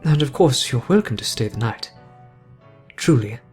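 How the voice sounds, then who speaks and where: A man playing a courteous, earnest young British gentleman. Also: mid-range voice, animated, moderate pace, slightly rough, soft background music. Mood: warm, gracious. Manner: polite, formal, sincere phrasing. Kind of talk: ASMR roleplay addressed to the listener.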